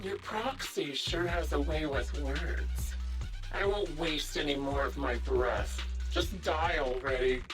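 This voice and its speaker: Game villainess: cruel, contemptuous criminal, slow taunting delivery, slightly distant. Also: female voice, low-pitched, over music. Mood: contemptuous, menacing. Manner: sneering, contemptuous. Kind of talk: video game voice acting.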